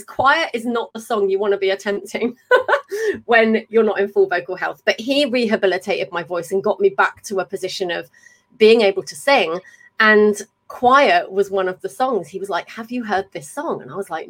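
A woman, hosting a casual chat over a video call, talkative and quick to laugh and swear. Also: medium pitch, expressive, a bit bright. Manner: chatty, swears freely, laughs often. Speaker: podcast host. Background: faint fan hum.

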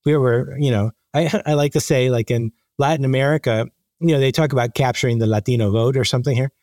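A man being interviewed, speaking conversationally and haltingly as he thinks aloud with repeated false starts. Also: medium pitch, darkish tone, dry recording. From born in the Dominican Republic. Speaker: interview guest, magazine editor.

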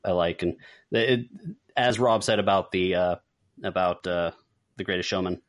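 A man's voice: low-pitched, moderate pace. A man co-hosting a podcast, talking in a casual, informal reviewing style. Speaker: podcast co-host.

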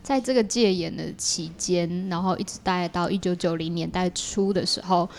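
A woman's voice: on the low side, moderate pace, gravelly, a little hiss or hum. A woman with a Taiwanese Mandarin accent, explaining things conversationally as an interview guest in long, run-on sentences. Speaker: podcast guest, audio drama creator.

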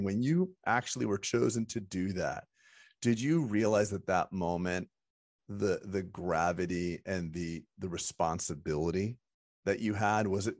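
A man with a low voice asks loose, curious questions, often restarting his sentences. His voice sounds close and dry, with no room behind it. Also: no room sound. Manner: chatty, curious, rambling questions. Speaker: interviewer. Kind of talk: casual interview questions.

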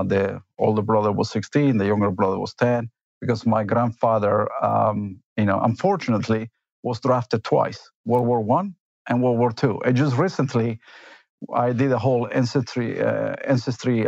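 Gravelly low-voiced man, reflective. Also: a little mellow, no echo, lo-fi sound. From Argentina and Italy. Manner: reflective, many fillers and tag questions. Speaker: company executive, interview guest. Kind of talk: conversational interview answers.

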